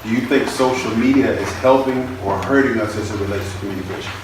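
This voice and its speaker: A man's voice: on the low side, fast. A man moderating a panel discussion, calling on panelists by name and asking them questions. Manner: friendly, asks questions and calls on panelists by name. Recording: distant echoey hall recording with background noise.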